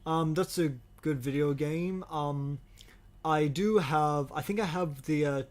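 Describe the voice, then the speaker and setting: A man co-hosting a podcast in casual chat, with a hesitant, halting delivery that often repeats phrases. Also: on the high side. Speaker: podcast co-host. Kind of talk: casual chat about video game movies.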